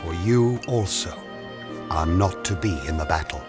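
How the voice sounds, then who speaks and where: Stately old male gift-giver, low voice, slow, benevolent and grave, radio drama, loud music behind. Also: animated. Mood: solemn, kindly. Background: prominent music.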